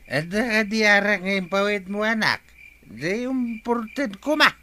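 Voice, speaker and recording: Stubborn old dad who wants his wine, sharp bright tone, all over the place pitchwise. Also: animated, measured pace, exaggerated voice. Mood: coaxing. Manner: wheedling, stubborn. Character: older father who loves his rice wine. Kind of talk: radio drama acting.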